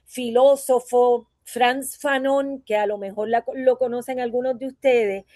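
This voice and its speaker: A woman's voice: on the high side, fairly even intonation, unhurried, warm tone, no room sound, studio quality. A woman from Puerto Rico with a Puerto Rican accent, a voice teacher giving a conversational conference talk and often checking in with her listeners through tag questions. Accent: Puerto Rican Spanish.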